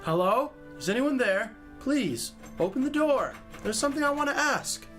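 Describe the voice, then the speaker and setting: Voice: sits high, animated, moderate pace, fairly bright tone, soft background music. An actor playing an earnest, impulsive young male knight in an audio drama, exclamatory and courtly in phrasing. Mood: pleading.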